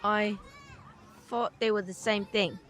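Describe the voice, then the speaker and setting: A woman's voice: medium pitch, steady tone, slow pace, a bit bright. A woman voicing a chatty, cheeky little British boy in an audio drama, with a frank, rambling, childlike manner. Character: chatty, cheeky, earnest little boy who loves comics.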